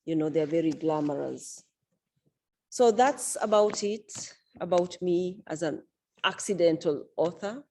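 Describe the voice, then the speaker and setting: A woman author and event organizer speaking at a book launch, in a measured, unhurried way with frequent fillers. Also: on the low side, a little mellow.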